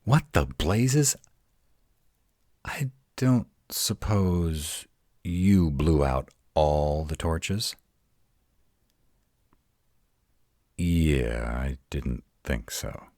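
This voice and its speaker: Man acting as a grumpy were-dragon, extremely low voice, gruff, exasperated, protective, roleplay audio, close dry sound. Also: expressive, darkish tone, no echo, exaggerated voice. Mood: wary, puzzled. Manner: gruff, exasperated, halting one-sided dialogue. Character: grumpy, protective male were-dragon, rattled but defiant.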